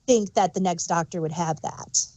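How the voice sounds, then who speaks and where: A woman's voice: on the low side, moderate pace, dark tone, no echo. A woman co-hosting a podcast, casually sharing her opinions on a TV show. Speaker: podcast co-host.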